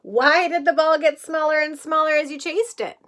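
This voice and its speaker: A woman's voice: high-pitched, moderate pace. A woman teacher reading a picture book aloud to young children, expressively, explaining things simply and asking questions. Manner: asks listeners questions, explains words simply; expressive storytelling, often chuckling. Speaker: kindergarten teacher.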